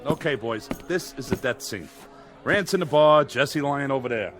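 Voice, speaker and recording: A man's voice: medium pitch, lively intonation, moderate pace, over music, lightly acted. A man playing a businesslike television director who gives commanding orders on a set in an audio drama. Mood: instructing. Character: brisk male TV film director giving orders. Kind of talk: radio drama acting.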